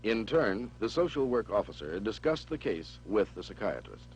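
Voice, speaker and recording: A man's voice: fairly low pitch, very animated, moderate pace, a little hiss or hum. A man giving formal, explanatory narration for an old training film. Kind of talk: scripted documentary narration. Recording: dull old film soundtrack with music.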